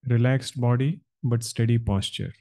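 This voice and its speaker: A man leading a guided meditation as a spiritual teacher, speaking in calm, measured, deliberate short phrases. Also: mid-range voice. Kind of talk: slow guided meditation instruction.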